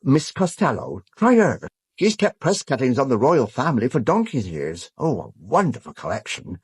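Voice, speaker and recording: An actor with a British accent playing a fussy, chatty older newspaper archivist in an audio drama, muttering and exclaiming. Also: male voice, mid-range voice, very animated, moderate pace, husky, no room sound. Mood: helpful. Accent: British English. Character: fussy, chatty old male newspaper archivist, easily scandalised. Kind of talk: full-cast audio drama acting.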